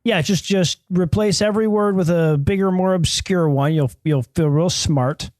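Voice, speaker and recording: A man acts out a knowing bookstore clerk in a comedy sketch, helpful and deadpan. The voice is high for a man and halting, with a close, dry sound. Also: no echo, natural voice.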